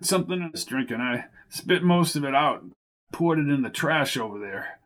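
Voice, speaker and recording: A man's voice: on the high side, animated, moderate pace, fairly bright tone. A man voicing a sickly middle-aged male stable worker who mumbles apologetically. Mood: apologetic.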